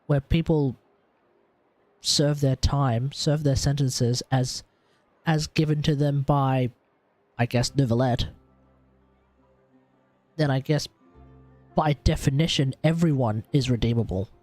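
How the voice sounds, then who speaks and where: A man giving casual live commentary on a game, with expressive, muttered, sweary reactions. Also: medium pitch, slow, long pauses, no echo, soft background music. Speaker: livestreamer playing and reacting to a game.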